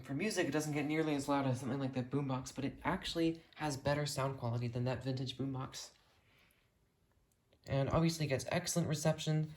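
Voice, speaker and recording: Excited, rambling American radio hobbyist. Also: medium pitch, slight background noise. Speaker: video host showing a radio collection. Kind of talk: casual enthusiastic collection tour.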